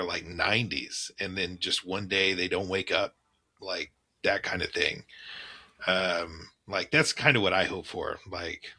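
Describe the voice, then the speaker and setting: A man's voice: low-pitched, bright, forceful tone. A man co-hosting a casual comedy podcast, explaining things in a loose, rambling way with frequent laughs. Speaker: podcast co-host. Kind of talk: casual chat. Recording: mild background keyboard clacking.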